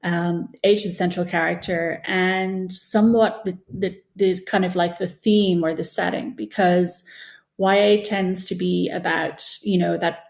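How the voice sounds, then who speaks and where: A woman's voice: fairly low pitch, steady tone, measured pace, gravelly. A woman giving conversational interview answers as an author, explaining things in a discursive way, thinking aloud as she goes. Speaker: guest, children's author and former TV producer.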